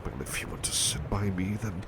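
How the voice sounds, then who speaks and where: A man playing a gentle, devoted butler in an intimate ASMR roleplay, speaking politely and tenderly with a hesitant stammer. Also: low-pitched, fairly even intonation, fairly quick, noisy background. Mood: weary, flustered. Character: gentle, devoted, flustered male butler, tired and affectionate.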